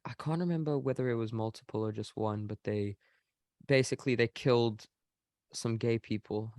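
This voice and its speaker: A man's voice: lowish voice, clean tone, no room sound, studio quality. A man from Africa, a guest reviewer who talks in a hesitant, thoughtful way. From South Africa. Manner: hesitant, thoughtful, many restarts. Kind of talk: casual video call chat.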